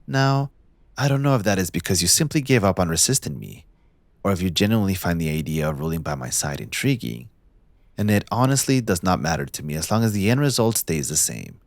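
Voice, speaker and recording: A man playing a possessive, smug young mafia heir in a scripted audio roleplay, speaking in a theatrical, condescending, deliberate villain's drawl. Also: low voice, very animated, no echo. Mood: smooth, condescending.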